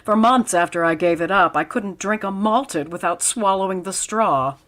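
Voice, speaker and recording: A woman playing a wry, quick-witted schoolteacher with dry wisecracks in old-time radio comedy style. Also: medium pitch, a bit bright, lightly acted. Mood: wry, reluctant. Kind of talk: 1940s radio comedy acting.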